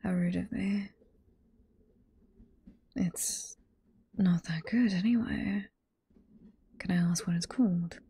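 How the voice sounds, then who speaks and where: Casting: actress as teasing older female librarian, British accent, ASMR roleplay. Hushed, very airy whisper, slow and sweet. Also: fairly low pitch, heavily acted. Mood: chatty, apologetic. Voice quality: soft, breathy whisper.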